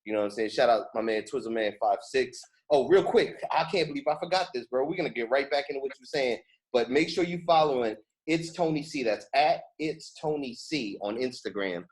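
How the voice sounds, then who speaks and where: A man's voice: mid-range voice, lively intonation, fairly quick, darkish tone, low-quality recording. A man hosting a casual podcast interview, effusive and slangy in the way he talks. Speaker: podcast host.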